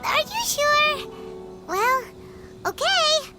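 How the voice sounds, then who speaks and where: A tiny, childlike fairy companion from an anime game. The voice is extremely high and wildly animated, yet unhurried in pace. She is excitable and exclaims often. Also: loud music behind the voice, heavily acted. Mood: opinionated, doubtful. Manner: refers to self in third person, exclaiming. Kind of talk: anime game dialogue acting.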